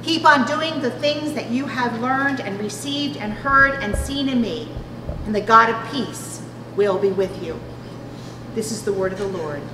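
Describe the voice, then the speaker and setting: A woman's voice: a little high, a little slow. A middle-aged woman of the clergy reading scripture aloud from a church pulpit. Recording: echoey hall, noisy background.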